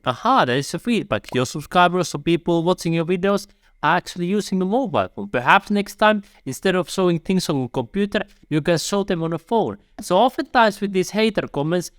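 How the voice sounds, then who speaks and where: A man's voice: high voice, dry recording, studio quality. A man with a Finnish accent, a YouTube coach giving earnest step-by-step advice straight to the viewer, calling them "my friend". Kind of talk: advice video to camera.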